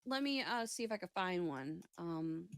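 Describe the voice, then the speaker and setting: A woman's voice: on the low side, expressive, moderate pace, dry recording, clean studio sound. A woman co-hosting a casual gaming chat show, talking in a rambling, sweary way and often interrupting herself.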